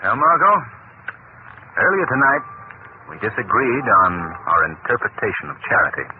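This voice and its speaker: A man playing a suave, kindly, idealistic gentleman hero in 1930s radio drama, polished and earnest. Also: medium pitch, wildly swooping pitch, moderate pace. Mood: earnest, reflective. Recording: scratchy, tinny 1930s radio broadcast recording.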